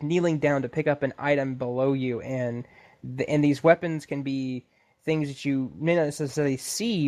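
A man's voice: mid-range voice, darkish tone. A man co-hosting a podcast in a casual gaming discussion, stammering and often restarting his sentences. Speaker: podcast co-host. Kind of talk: casual video game discussion.

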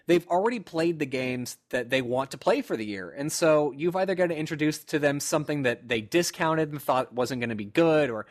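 Young male podcast co-host, a bit high-pitched, chatty and joking, trips over his own sentences. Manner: rambling, self-interrupting, joking. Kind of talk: casual chat among friends.

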